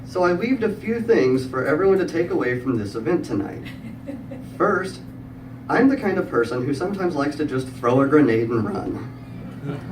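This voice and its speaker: A middle-aged man tells a humorous story live, in a prepared style with wry, dry wit and comic pauses. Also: fairly high pitch, very animated. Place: live event room with audience. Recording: echoey room, distant mic, clear background noise.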